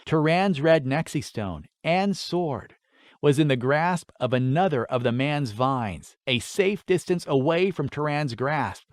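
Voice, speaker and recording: A man's voice: fairly high pitch, smooth, no echo, clean studio sound. A man narrating a scripted fantasy story as an audiobook reader.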